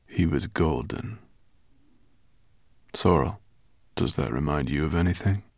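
Man voicing a deep, pensive male dragon. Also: lively intonation, a little slow, darkish tone, no echo, clean studio sound, heavily acted. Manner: murmuring, pensive. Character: thoughtful, grave male dragon. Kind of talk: audiobook character acting.

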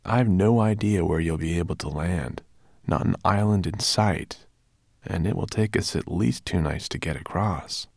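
A man's voice: sits low, measured pace, vocal fry, a little mellow, no echo, clean studio sound, heavily acted. A man voicing an earnest, eager boy planning a journey.

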